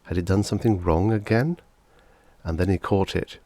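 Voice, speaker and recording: A man's voice: sits low, normal speed, mellow, no echo. A man narrating fiction as a scripted audiobook reader.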